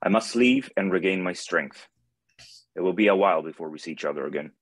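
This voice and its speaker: Man voicing a kindly god of light, very low voice, grave, measured, dry close sound, animated fantasy. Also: no echo. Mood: earnest. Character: solemn benevolent male god of light. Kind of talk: animated fantasy script table read.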